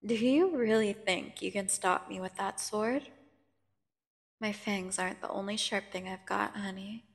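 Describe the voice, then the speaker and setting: A woman playing a menacing, seductive vampire prisoner in an ASMR audio drama, taunting and condescending with theatrical pauses. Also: mid-range voice, vocal fry. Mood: threatening, seductive. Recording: long cavernous reverb.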